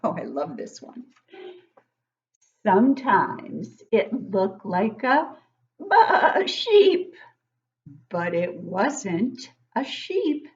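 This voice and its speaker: Slow, very lively older woman reading aloud. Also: mid-range voice, muffled recording. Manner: expressive, pausing to ask the listeners questions. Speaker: teacher reading a picture book to children. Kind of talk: read-aloud for young children with questions and comments. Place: living room at home, indoors.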